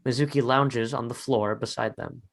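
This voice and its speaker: A man's voice: medium pitch, steady tone, moderate pace, vocal fry. A man narrating a script, reading out stage directions in short phrases.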